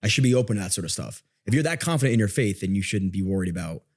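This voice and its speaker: Male podcast guest, slightly low register, inquisitive and conversational, explains himself as he talks. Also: lively intonation, racing.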